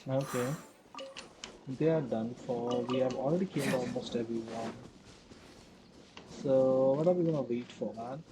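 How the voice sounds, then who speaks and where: A man's voice: mid-range voice, normal speed, pauses often, noisy background. A man giving casual, offhand live commentary while streaming a video game. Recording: loud game music behind the voice.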